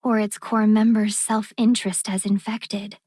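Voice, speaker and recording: A woman voicing a formal, enigmatic, probing female video game character with deliberate delivery. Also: medium pitch, little pitch movement, a little mellow, no room sound, clean studio sound, lightly acted. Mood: probing, solemn.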